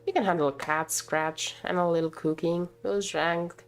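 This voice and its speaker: A woman's voice: on the low side, steady tone, moderate pace, clean tone, close to an everyday voice. A woman voicing a caring, reassuring cafe coworker in a visual novel, with a concerned, coaxing manner. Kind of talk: visual novel dialogue read aloud.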